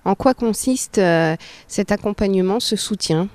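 A woman's voice: mid-range voice, a little slow, darkish tone, no echo. A woman hosting a radio interview, reading an announcement and then asking questions with frequent fillers. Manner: reads intro smoothly, then asks with fillers. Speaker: radio host.

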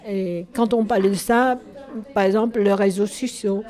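A woman from China speaking French as a second language with a Chinese accent, answering interview questions in a halting way and often correcting herself. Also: medium pitch, steady tone, a little mellow. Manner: halting, searching for words, self-correcting. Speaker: tea shop owner.

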